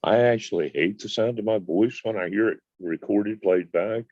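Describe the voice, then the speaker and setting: A man with a deep voice talking on his phone mic in casual group chat, drawling and mildly profane. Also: normal speed, a little mellow, no echo, highs cut off.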